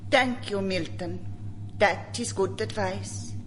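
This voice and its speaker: An actress playing a vengeful Swedish café owner, a melodramatic villain in a radio sitcom. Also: female voice, mid-range voice, slow, fairly bright tone, a little hiss or hum. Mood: remorseful. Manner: melodramatic, gloating.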